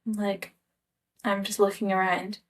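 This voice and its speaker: Scottish woman vlogger just chatting, mid pitch voice, kinda rambly and hesitant, personal story. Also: level tone, a little slow. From Scotland. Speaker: travel vlogger. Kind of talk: casual personal storytelling to camera.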